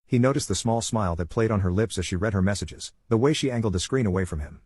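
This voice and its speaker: Male narrator for scripted drama. Lowish pitch, brisk pace, very dry recording. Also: darkish tone, breathy edge, no echo. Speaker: story narrator.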